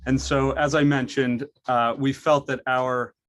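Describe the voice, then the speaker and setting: A man's voice: mid-range voice, little pitch movement, measured pace, a bit bright. A young man from Maine, a college student giving an earnest prepared presentation, with frequent fillers and restarts. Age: young adult.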